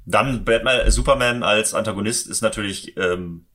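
A man's voice: lowish voice, normal speed, pushed and bright. A man co-hosting a podcast, chatting casually and enthusiastically in colloquial speech. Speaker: podcast co-host. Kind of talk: casual chat about games and comics.

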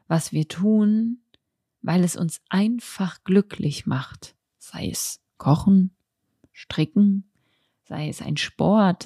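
A woman's voice: on the low side, creaky, mellow, breathy edge, dry recording, studio quality. A thirty-year-old woman hosting a podcast, speaking in a reflective, candid, unscripted personal monologue. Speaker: podcast host. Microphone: handheld microphone, close.

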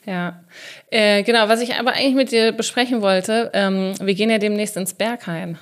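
A woman from southern Hesse hosting a podcast in casual chat, chatty and quick to laugh, with many fillers. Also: mid-range voice, fairly even intonation. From southern Hesse, Germany. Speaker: podcast host.

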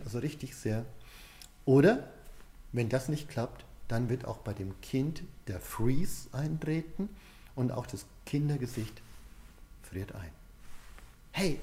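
A man's voice: mid-range voice, mellow. A man giving an explanatory coaching lecture in an informal, conversational way, addressing the listener directly.

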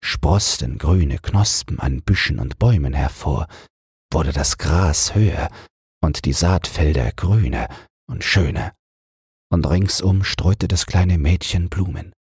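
A man with an extremely low, breathy and husky voice narrates a bedtime fairy tale. His delivery is slow, measured and soothing, recorded dry and close. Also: lively intonation, a little mellow, no room sound. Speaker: audiobook reader.

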